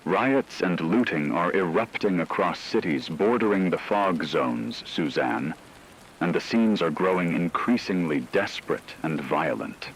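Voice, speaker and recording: A low-pitched man acting as a male news correspondent in a radio drama gives grave, measured disaster reports. His delivery is formal and scripted, over noisy rain-like ambience. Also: animated, gravelly, heavily acted. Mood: grave, ominous.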